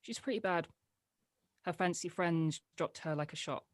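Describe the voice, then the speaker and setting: A guarded young daughter played by a woman with a slightly low voice, recorded without any room echo. She is dry, blunt and sardonic, in the manner of a drama script read. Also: moderate pace, natural voice. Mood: guarded, bitter.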